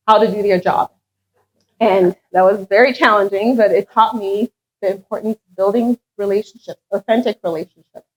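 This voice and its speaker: A woman's voice: mid-range voice, muffled recording. Middle-aged woman telling a heartfelt story. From Ghana, raised in France. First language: French. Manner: earnest storytelling with light self-deprecating humor. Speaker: head of a charitable foundation. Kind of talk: public speech at a meet and greet.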